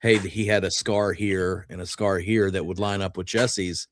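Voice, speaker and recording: Low-voiced man in casual talk. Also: steady tone, moderate pace, no room sound, clean studio sound. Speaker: talk show co-host or guest. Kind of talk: casual conversational history discussion.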